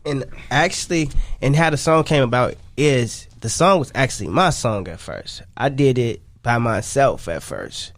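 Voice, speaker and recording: A man's voice: mid-range voice, animated, normal speed, few pauses, no room sound. A man talking casually as a guest in a radio interview, rambling and repeating himself with slang tags. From Chicago, United States. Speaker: music artist and songwriter, interview guest.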